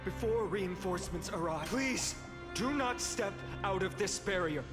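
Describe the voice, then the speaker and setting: Earnest hero in reverberant hall. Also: male voice, high-pitched, lively intonation. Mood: earnest, resolute. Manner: formal, earnest, measured speech. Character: earnest young heroic leader, resolute and courteous. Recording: reverberant hall effect, loud music behind.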